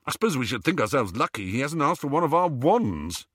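A man's voice: mid-range voice, big pitch swings, fairly quick, a bit bright, husky, no room sound, studio quality, voice pushed up. A man voicing a brash, eager, easily indignant teenage wizard boy in an audiobook, with a blunt colloquial manner. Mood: scornful. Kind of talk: audiobook character voice.

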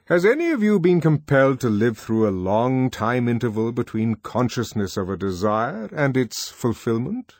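A man's voice: mid-range voice, big pitch swings, normal speed, hardly any pauses, a little mellow, dry recording, studio quality, pitched above the performer's own voice. A man voicing a commanding, lecturing male ruler who addresses a group of boys. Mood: lofty. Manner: oratorical, lecturing. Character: commanding male world controller, older, lofty.

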